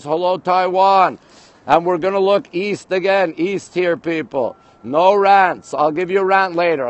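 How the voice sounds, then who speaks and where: A man from Montreal, Canada hosting a casual livestream from a high-rise balcony at night, greeting viewers by place and reading comments aloud. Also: muffled recording. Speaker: livestream host. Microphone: phone camera held by speaker.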